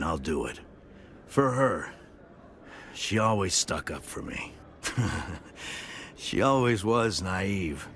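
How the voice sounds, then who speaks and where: A man's voice: fairly low pitch, fairly bright tone, heavily acted. An actor playing a bitter, haunted middle-aged male ex-marine who drinks, weary and begging in a video game. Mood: resigned. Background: game music.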